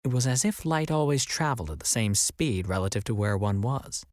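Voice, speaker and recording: A man reading as an audiobook narrator, in an expressive scripted storytelling style. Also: medium pitch, a little fast, no echo, studio quality.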